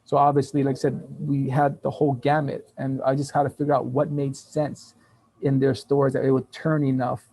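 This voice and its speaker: A man of fifty, raised in Taiwan and Hawaii with Taiwanese as his first language, telling business stories as a guest in a casual interview with lively restarts and laughs. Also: mid-range voice, moderate pace, low-quality recording. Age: 50s. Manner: animated storytelling with frequent restarts and laughs.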